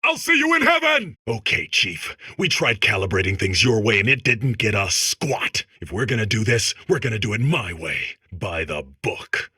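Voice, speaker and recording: An actor playing an intense, earnest by-the-book cop robot, melodramatic and raspy, parodying action-film tough guys. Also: male voice, fairly low pitch, wildly swooping pitch, normal speed, pushed and bright, dry recording, studio quality, exaggerated voice. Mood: intense, defiant. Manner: melodramatic tough-guy delivery.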